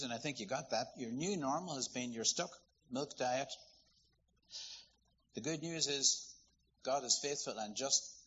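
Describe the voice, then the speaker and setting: A man from Ireland, preaching a sermon in a lively, conversational, storytelling way. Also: medium pitch, highs cut off, slight background noise. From Northern Ireland.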